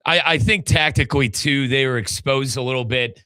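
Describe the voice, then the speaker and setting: A man's voice: mid-range voice, fairly quick, pushed and bright, no echo. A man hosting a soccer talk show, giving emphatic, opinionated analysis. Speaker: sports talk show host.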